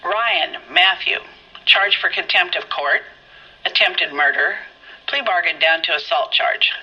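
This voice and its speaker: An actress playing a young, businesslike police records operator, reading records in clipped phrases through a telephone or radio filter. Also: female voice, lowish voice, unhurried, slightly rough. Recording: muffled, band-limited telephone or radio line.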